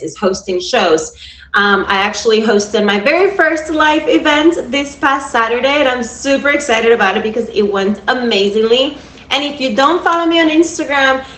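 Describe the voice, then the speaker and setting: A woman in her thirties from Cuba with a Cuban Spanish accent, hosting a livestream in an upbeat, chatty way and talking straight to her viewers. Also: a little high. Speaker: livestream host. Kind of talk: casual livestream talk to viewers. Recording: echoey room.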